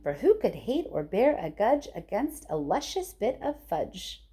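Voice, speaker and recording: Woman as tiny mocking chanters, sing-song and lively. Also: medium pitch, a little slow, slightly rough. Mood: gloating, rhythmic. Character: chorus of tiny, mocking, moralizing factory workers.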